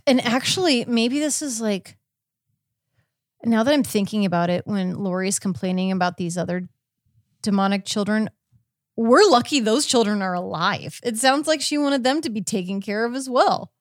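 A woman hosting a podcast in casual chat, recounting a case informally with a halting, filler-heavy delivery. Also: medium pitch, expressive, no echo, clean studio sound. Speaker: podcast host.

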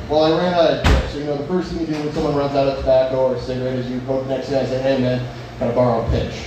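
Crude young man doing rambling stand-up. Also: medium pitch. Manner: crude, rambling, self-mocking, restarts jokes. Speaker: stand-up comedian. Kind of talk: open-mic stand-up set with crowd work. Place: bar open mic. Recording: echoey bar from far off, noisy.

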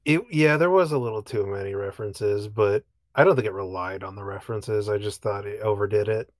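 Lowish-voiced man chatting casually, dry sound. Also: brisk, dry recording. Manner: conversational, peppers talk with "like" and hedging. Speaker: podcast co-host. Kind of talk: casual movie chat.

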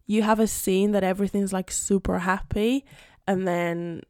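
A woman's voice: mid-range voice, steady tone, moderate pace, dark tone, smooth, no echo, clean studio sound. A young woman from Spain, a native Spanish speaker, chatting casually and a bit rambling on her podcast, full of fillers. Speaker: podcast host and English teacher. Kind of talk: casual solo podcast chat.

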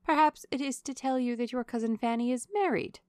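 A woman's voice: high voice, a little fast, no echo, studio quality, voice pushed up, lightly acted. A woman voicing a nosy, jolly older matron in a storybook reading, prying and exclaiming with swooping emphasis. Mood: teasing.

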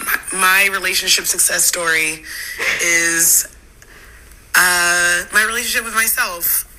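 A hoarse woman leaving a halting phone message for a podcast, with many pauses and restarts. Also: fairly low pitch, fairly even intonation, sharp tone, rough recording. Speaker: listener contributor. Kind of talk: recorded voice message. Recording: phone voice memo with faint noise.

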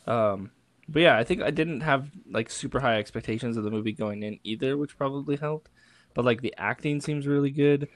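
A man's voice: mid-range voice, a little fast, no echo. A man chatting through a movie review with friends in an easygoing, profane way. His voice sits in a middle range and sounds dry and close, with no room around it. He often breaks off and restarts his sentences. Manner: casual, swears freely, trails off and restarts. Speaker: podcast co-host. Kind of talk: casual movie review chat.

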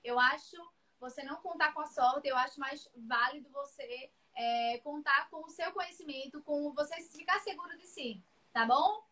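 A woman's voice: high voice, normal speed, few pauses, sharp tone. A woman teaching essay writing on a live stream, chatty and reassuring, calling viewers by name. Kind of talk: live-streamed class, casual chat.

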